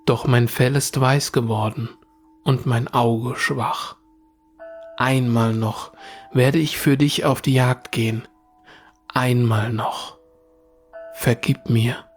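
A man playing a weary, solitary bureaucrat who narrates his own life in a radio play, in measured, introspective, terse phrases. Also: medium pitch, natural voice. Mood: solemn, resigned. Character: weary, solitary male bureaucrat with quiet grief. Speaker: narrator and lead actor in an audio drama.

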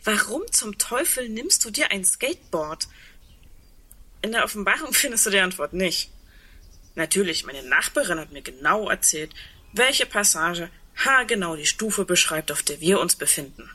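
An actress playing a lonely, sardonic woman awaiting the end of the world in an intimate radio play, in a breathy, reflective, wry way. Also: medium pitch, expressive, normal speed, sharp tone. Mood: wry, puzzled.

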